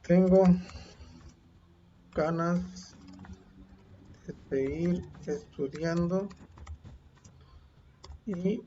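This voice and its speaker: A male teacher, dictating and reading a model text aloud slowly, saying the punctuation. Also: high-pitched, fairly even intonation, warm tone, a little hiss or hum. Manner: slow, deliberate dictation with spoken punctuation. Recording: dull lo-fi home recording.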